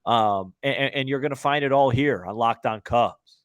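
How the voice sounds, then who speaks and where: A man's voice: mid-range voice, normal speed, smooth, dry recording, studio quality. A man co-hosting a sports podcast in casual talk, with choppy, conversational phrasing that often repeats words.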